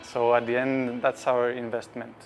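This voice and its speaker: A man talks in a medium-pitched voice over faint music. He explains things to camera on a lapel mic, interview style. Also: completely flat delivery, normal speed. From Chile. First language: Spanish. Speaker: sound engineer and podcast creator.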